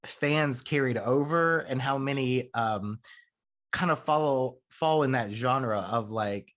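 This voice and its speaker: A man's voice: medium pitch, takes it slowly, fairly bright tone. Rambling man chatting on a podcast. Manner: rambling, many fillers and restarts. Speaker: podcast host. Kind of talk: casual chat.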